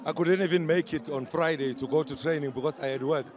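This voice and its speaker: A hoarse footballer giving a proud post-match interview pitchside outdoors, mixing English and Zulu. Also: male voice, steady tone, fast talker, darkish tone, some chatter behind. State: hoarse, lost voice. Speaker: footballer, defender.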